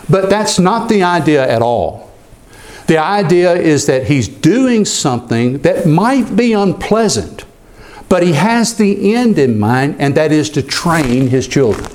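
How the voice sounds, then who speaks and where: A man's voice: medium pitch, a little mellow. A man pastor preaching an earnest expository sermon, sometimes repeating a word as he goes.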